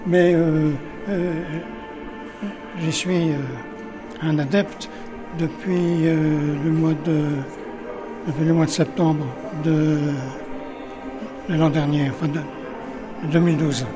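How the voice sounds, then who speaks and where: A man's voice: on the high side, flat delivery, takes it slowly, pauses often, over music, poor audio. An older man from Paris, interviewed at a cinematheque, talking hesitantly with many restarts and fillers. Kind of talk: casual interview. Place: cinematheque in a city.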